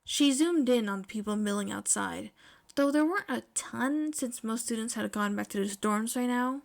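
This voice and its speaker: A woman reading scripted fiction aloud as an expressive podfic narrator. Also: on the high side, moderate pace, vocal fry.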